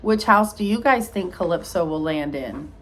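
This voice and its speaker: A woman's voice: fairly low pitch, normal speed, slight background noise. A woman reading a rhyming picture book aloud like a teacher, expressively, with small asides to young listeners. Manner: expressive storytelling, addressing children.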